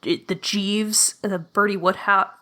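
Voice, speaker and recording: A woman plays a tabletop mystery as a guest, chatting casually and laughing often. Her voice is bright and forward, at a middle pitch for a woman. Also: fairly even intonation, takes it slowly. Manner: chatty, laughs often, slips into a posh in-character voice. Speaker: guest player. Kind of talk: casual play chat.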